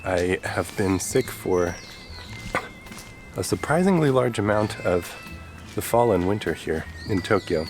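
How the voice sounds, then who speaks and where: A man's voice: deep voice, measured pace. A young man from the Chicago area with a stuffed-up, nasal voice from a cold, chatting casually as he walks through the city. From Chicago area, USA. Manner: relaxed, chatty, talks while walking. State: congested from a cold. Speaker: vlogger. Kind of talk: casual vlog chat. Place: outdoors on city streets. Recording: with music playing underneath.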